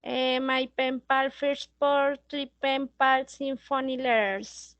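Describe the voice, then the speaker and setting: Slow high-voiced woman. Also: little pitch movement, fairly bright tone, no room sound, muffled recording. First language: Spanish. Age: 30s. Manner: halting, reads slowly with fillers. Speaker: English learner. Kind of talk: reading a class presentation.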